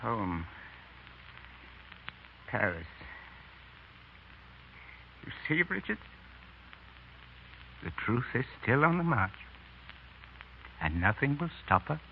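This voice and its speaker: An actor playing a fervent, gruff, aging French novelist in a theatrical 1930s radio drama style. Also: male voice, medium pitch, big pitch swings, slow, long pauses, full character voice. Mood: musing, fervent. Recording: muffled, noisy 1930s radio recording.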